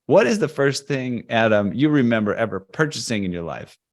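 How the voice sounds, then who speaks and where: A man's voice: mid-range voice, lively intonation, fairly quick. Male host, playful, jokey, casual. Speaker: podcast host. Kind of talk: casual chat.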